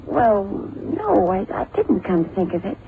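A composed woman doctor, medium-pitched, reserved and firm under questioning. She has the careful delivery of old radio drama. The sound is tinny with clear background noise. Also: very animated, unhurried. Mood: guarded, firm. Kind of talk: 1960s-70s radio drama acting.

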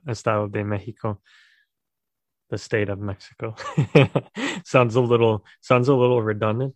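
Young american guy hosting a podcast on a call, lowish voice, lots of "like", dry close sound. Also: dry recording. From United States. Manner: relaxed, filler-heavy questioning. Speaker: podcast host. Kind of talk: casual podcast chat.

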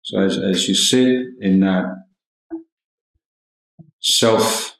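Very slow, low-voiced man guiding meditation. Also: gravelly. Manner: calm, measured, long pauses between short phrases. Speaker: Buddhist monk teaching meditation. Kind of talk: guided meditation talk. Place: indoor room at a monastery. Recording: roomy, echoing hall sound.

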